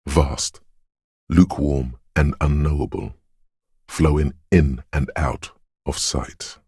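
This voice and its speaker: An actor playing a cryptic, dreamy inner voice of intuition in a video game, theatrical and ominous, with a gravelly hushed rasp and deliberate dramatic pauses. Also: male voice, a bass voice, steady tone, dry recording, studio quality, heavily acted. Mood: mystical, hushed. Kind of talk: theatrical video game narration, second-person poetic musing.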